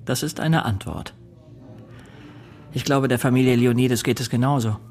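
An actor playing an earnest young fiancé who narrates a murder mystery radio play in a measured, serious way. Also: male voice, mid-range voice, steady tone, darkish tone, faint music behind, natural voice. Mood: concerned. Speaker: narrator and lead character. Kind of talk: crime radio drama narration and dialogue.

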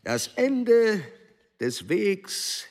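An actor in a German radio play, playing an elderly, diplomatic court chamberlain with a measured, placating manner and a creaky voice. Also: male voice, high voice, sing-song, exaggerated voice. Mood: solemn oratory.

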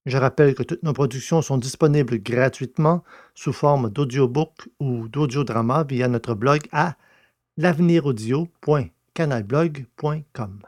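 A male narrator reads a scripted science fiction audio drama. His voice sits at a medium pitch, and the recording is dry with no room sound. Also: measured pace, a little mellow, no room sound.

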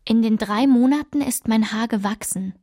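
A woman performing a radio play's literary narration as someone looking back on her girlhood, in a measured, descriptive way. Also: fairly high pitch, dark tone, close to an everyday voice. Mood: reflective, measured. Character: reflective woman recalling her girlhood through old photographs.

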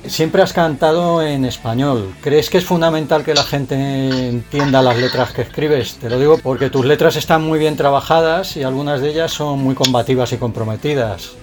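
Man from Spain, mid-pitched voice, friendly radio interviewer, quick short acknowledgements, faint music behind.

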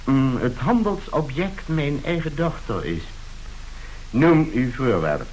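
A man's voice: medium pitch, big pitch swings, takes it slowly, a little mellow, rough recording. An actor in a radio play playing a domineering middle-aged businessman and father who barks curt orders. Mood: blustering, exasperated. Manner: imperious, barking curt orders. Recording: hissy old radio play recording.

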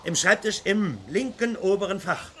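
A man's voice: sits high, animated, normal speed, pushed and bright. An actor playing a tense police officer who urgently instructs and pleads with his wife in a German radio crime drama. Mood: commanding. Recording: noisy old radio drama recording.

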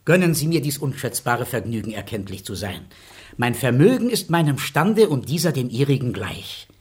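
Formal, effusive baron, husky, very lively melody, radio play. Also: male voice, medium pitch, moderate pace. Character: grateful wealthy baron, a father. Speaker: father.

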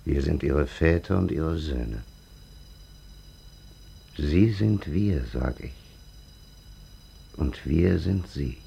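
An actor in a radio drama playing a solemn, grandiose old warrior grandfather, with a raspy voice and archaic, ponderous oratory. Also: extremely low pitch, lively intonation, drawn-out words, pauses often, mellow, exaggerated voice. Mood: solemn, proclaiming. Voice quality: gravelly, raspy.

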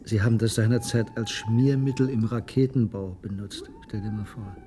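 A man's voice: mid-range voice, moderate pace, warm tone, faint music behind, natural voice. An actor playing an impassioned, cynical male researcher in a radio play, lecturing in an emphatic, sardonic way. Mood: eager explaining. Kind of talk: German radio play acting.

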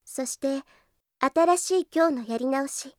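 Audio drama role: a devoted, demure young anime heroine, very high voice, hesitant and extremely polite. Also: female voice, a little slow, no room sound, clean studio sound. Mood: calm, polite. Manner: very polite, hesitant, apologetic speech.

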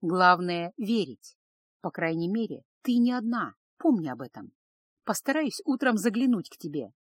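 A woman voicing a world-weary, consoling harem woman in an audiobook, gentle and measured. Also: fairly low pitch, sing-song, slightly rough, no echo. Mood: reassuring, firm.

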